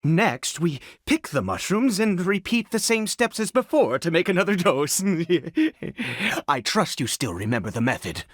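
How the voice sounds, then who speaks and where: An actor voicing a weary, anxious father in a video game, speaking in a halting, stammering way with nervous hesitations. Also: high voice, sing-song, no room sound, full character voice. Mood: relieved, eager. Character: weary, anxious father, nervous and desperate, devoted to his ailing son. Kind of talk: video game voice acting, dramatic English dub. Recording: music under the voice. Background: game music.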